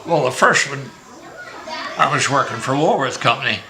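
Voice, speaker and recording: A man's voice: medium pitch, moderate pace, crowd noise, rough recording. An older man from Maryland, a grandfather telling his life story in a rambling, joking way during a casual family interview at home. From Maryland, USA.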